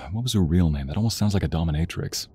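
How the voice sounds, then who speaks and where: A man's voice: low voice, expressive, fast talker, warm tone, husky, full character voice. A man playing a playful, doting boyfriend at a home gym in a romance audio roleplay, chatting in a teasing, affectionate way with small grunts. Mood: teasing, chatty.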